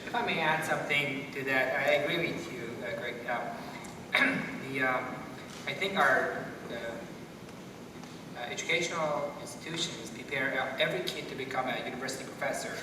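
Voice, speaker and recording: A middle-aged man explaining things as a doctor on a panel, with halting, repetitive phrasing. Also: medium pitch. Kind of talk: panel discussion, explanatory talk. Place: school theater stage at a panel table. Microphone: distant from the speaker. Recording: echoey hall, distant mic, background noise.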